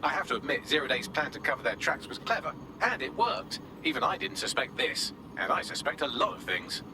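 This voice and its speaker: A man's voice: on the high side, very animated, brisk, creaky, noisy background. A man voicing a smug, witty AI assistant with a dry, sarcastic manner in a video game. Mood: smug admiration. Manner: dry, sarcastic, self-satisfied.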